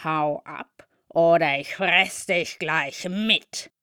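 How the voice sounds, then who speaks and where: A woman voicing a fat, bullying cat in a children's story, growling gruff threats. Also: low-pitched, very slow, bright, forceful tone, dry recording, clean studio sound, heavily acted. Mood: threatening.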